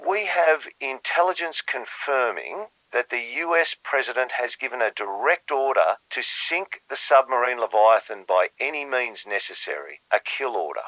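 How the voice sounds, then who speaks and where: Dull, dry audio carries a male actor as a conspiratorial government minister. He speaks with formal, measured gravity and an urgent undertone, as in an audio drama. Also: medium pitch, a bit bright, no room sound. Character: grave, conspiratorial male Australian foreign minister.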